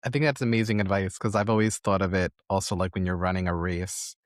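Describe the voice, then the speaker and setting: A man with a very low-pitched voice hosts a podcast interview. He is encouraging and reflective, building long conversational questions. The recording is close and dry, free of echo. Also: fairly even intonation, fast.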